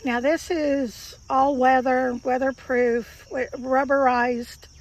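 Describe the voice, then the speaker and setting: Hoarse woman chatting outdoors, high gravelly voice. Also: level tone, slow pace, rough recording. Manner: chatty, explains tips to viewers. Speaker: homesteading channel host. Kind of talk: casual chat while working on a project.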